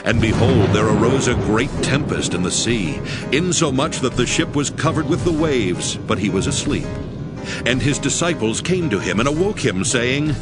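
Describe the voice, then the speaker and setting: Expressive male scripture narrator over music. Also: mid-range voice, moderate pace. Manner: formal, solemn storytelling. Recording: music behind the voice.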